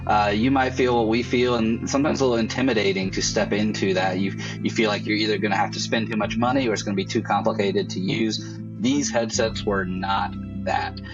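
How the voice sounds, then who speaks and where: A man's voice: on the low side, fairly even intonation, fairly quick, muffled recording. A man in his thirties giving an explanatory testimonial into a close mic at home. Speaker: church creative director, interviewee. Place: bedroom at home. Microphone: close dynamic mic on boom arm. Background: background music.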